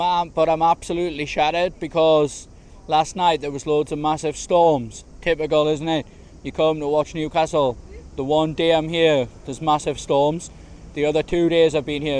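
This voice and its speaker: A man vlogging as he walks through gardens in the heat, his voice slightly hoarse, talking in a chatty, slangy, easygoing way. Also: a little high, darkish tone. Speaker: travel vlogger. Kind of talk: casual walking vlog narration. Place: outdoors in large city gardens, walking in heat.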